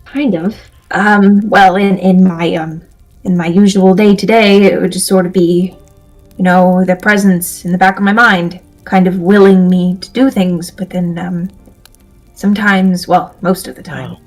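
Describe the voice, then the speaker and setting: A woman voicing a timid, earnest male adventurer in an improvised tabletop audio drama, speaking in halting, awkward stammers. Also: fairly low pitch, fairly even intonation, poor audio. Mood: uneasy, confiding. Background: music.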